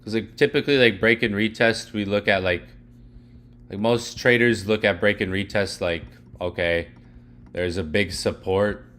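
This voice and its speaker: Young man, slightly low voice, going hoarse, clears his throat, rambly filler-heavy chart talk. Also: fairly even intonation, moderate pace, a bit bright. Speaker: trading educator. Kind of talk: casual live chart walkthrough.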